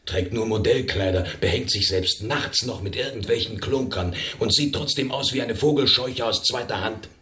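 A man's voice: mid-range voice, moderate pace, hardly any pauses, sharp tone, lightly acted. An actor playing a bitter young man with a sneering, weary manner in a German radio drama, heard in an echoing room. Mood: scornful. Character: bitter young man venting about his rich employer. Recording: roomy, echoing eavesdropped-room sound.

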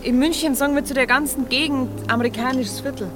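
A woman's voice: high voice, fairly even intonation, fast, music in the background, lightly acted. A woman playing a helpful, plain-spoken Munich local with a Bavarian accent in a radio drama. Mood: eager, informative. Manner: colloquial, matter-of-fact.

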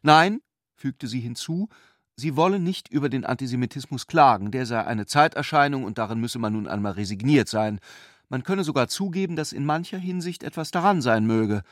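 A man's voice: medium pitch, animated, moderate pace, no echo, studio quality, natural voice. A man voicing a worried, plaintive middle-aged mother. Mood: resigned. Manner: sighing, agitated.

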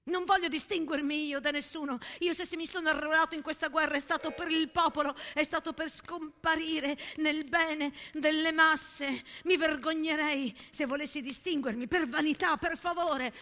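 A woman's voice: high-pitched, fairly even intonation, normal speed, few pauses, big-room sound. A woman playing an impassioned, haunted young male soldier and poet in a literary stage monologue. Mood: indignant. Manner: intense, literary, swinging from outcry to hush.

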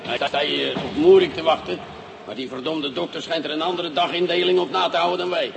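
A man's voice: on the high side, a little fast, creaky, noisy background. An actor playing an irritable, blustering police commissioner in a radio play, grumbling, cursing and stammering over his words. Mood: grumpy, impatient.

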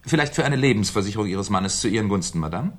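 A shrewd commissioner questioning suspects in a vintage radio crime drama. His voice sits slightly low with a husky grain. He is formally polite yet sardonic and insistent. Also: lively intonation, quick pace. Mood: sardonic, probing. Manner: probing, sardonic, formally polite, hesitating with 'äh'. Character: shrewd, insistent male French police commissioner interrogating suspects. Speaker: actor in a radio crime play. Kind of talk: 1970s German radio drama acting. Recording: 1970s studio radio play recording.

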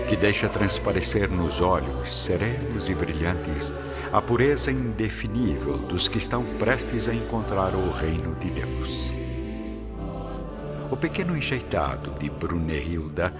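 A man with a Brazilian accent, giving dramatic scripted narration for a radio drama. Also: sits low. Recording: dull lo-fi audio under loud music. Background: loud music.

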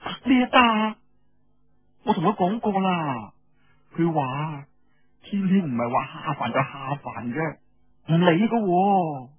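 A man's voice: a little high, animated, slow pace, pauses often, breathy edge, lo-fi sound, faint music behind, full character voice. A man voicing an impetuous, fretful young woman in oral storytelling, with a Cantonese accent and an urgent, exclaiming manner. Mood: impatient.

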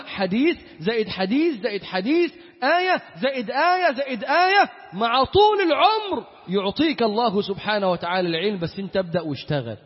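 A man with an Egyptian Arabic accent preaching an Islamic sermon in an emphatic manner, repeating key phrases. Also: very high voice. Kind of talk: Friday sermon with recitation and supplication. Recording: echoey hall with long reverb, dull sound.

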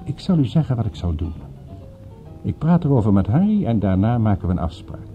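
A middle-aged businessman in a radio play, formal and calculating. His voice is on the low side with lively rises and falls, heard through a thin, phone-like old recording. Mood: courteous. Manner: deliberate, formal, polite. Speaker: radio drama actor. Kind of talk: Dutch radio play dialogue. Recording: tinny narrow-band old radio, faint music.

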